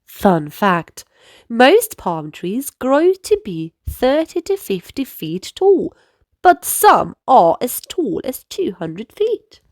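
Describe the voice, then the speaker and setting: Woman, children's storybook reader, high voice, unhurried pace, expressive delivery, dry close sound. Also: no echo. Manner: animated, deliberate storytelling. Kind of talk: expressive read-aloud storytelling.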